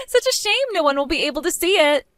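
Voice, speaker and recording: A woman voicing a spiteful, bratty young woman in an animated story, with a mocking, whiny, shrill manner. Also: a little fast, no room sound.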